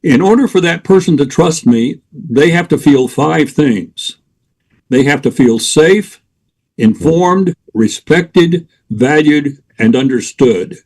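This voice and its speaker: An older man, a guest on a talk program, explaining his approach in a measured, deliberate way, listing points one by one. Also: on the high side, lively intonation, darkish tone, poor audio. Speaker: guest expert on interventions. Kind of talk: radio talk show interview.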